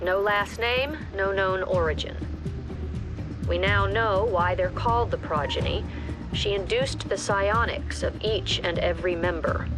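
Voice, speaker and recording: Authoritative female commander for game briefings, level and crisp, music bed — actress. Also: medium pitch, measured pace, natural voice, low-quality recording. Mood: commanding. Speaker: mission commander giving briefings. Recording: game audio with clear music behind.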